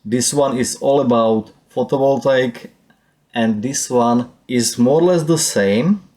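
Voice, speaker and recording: A man with a Czech accent walking through his channel's stats, speaking non-native English haltingly with many fillers. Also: medium pitch, a little mellow. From Czech Republic. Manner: halting, with frequent "uh" and "let me say". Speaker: YouTuber. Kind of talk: screen-share channel walkthrough.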